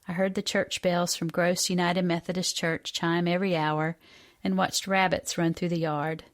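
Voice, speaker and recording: A woman's voice: on the low side, flat delivery, moderate pace. A woman in her fifties from the United States reading a personal essay aloud as a scripted narration. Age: 50s. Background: music.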